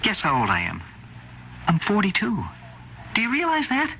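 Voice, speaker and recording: Radio drama: needy, anxious American talent agent, halting and stammering, very bright edgy tone, animated swings. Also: male voice, high-pitched, gravelly, exaggerated voice. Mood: despairing. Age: middle-aged. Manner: stammering, halting, repeating himself. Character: anxious, needy 42-year-old male talent agent, feeling washed-up. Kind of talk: old-time radio drama acting. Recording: noisy vintage radio drama recording.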